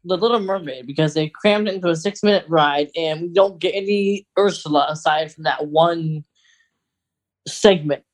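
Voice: medium pitch, normal speed, rough recording. An American podcast co-host chatting casually in an informal, rambling, conversational way.

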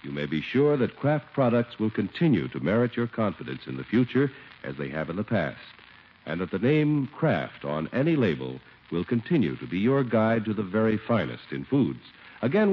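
Low, animated American radio announcer man. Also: slight background noise. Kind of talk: scripted 1950s sponsor announcement and narration. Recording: dull old radio broadcast recording.

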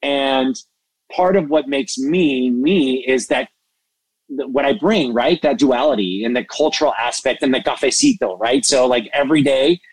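Expressive, passionate man in a dry recording. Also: medium pitch, moderate pace, husky, no echo, rough recording. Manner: expressive, passionate, self-described hyper. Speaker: interview guest, entertainment media executive and mentor. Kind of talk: animated interview conversation.

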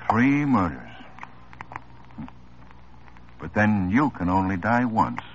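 A man's voice: on the low side, sing-song, slow. An actor playing a tough male police detective making an arrest, firm and commanding, in 1950s radio drama style. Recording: dull old radio recording, faint hiss.

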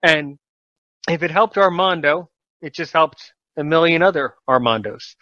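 A man's voice: on the high side, a little slow, bright, forceful tone, no room sound, lo-fi sound. A man hosting a casual podcast talk show, encouraging and rambling, often correcting himself mid-sentence.

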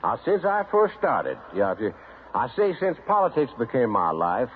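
Blustering windbag politician, as a narrator puts him on, pompous, swoops around, tinny sound. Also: male voice, mid-range voice, wildly swooping pitch, moderate pace, a little hiss or hum, voice pushed up. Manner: rambling, self-correcting, pompous. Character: blustering long-winded male politician.

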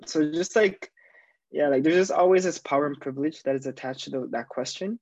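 A young man guesting on a casual podcast, a little higher-voiced than most men. He sounds frank and exasperated and stumbles over repeated words. His audio is dry and somewhat muffled. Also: a little fast, a little mellow, no room sound. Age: young adult. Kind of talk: casual conversational interview.

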